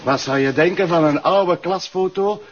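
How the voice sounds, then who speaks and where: A man's voice: high-pitched, moderate pace, lo-fi sound, slight background noise, natural voice. A man with a Flemish accent playing a loyal, sceptical, dryly teasing police inspector in a TV crime drama.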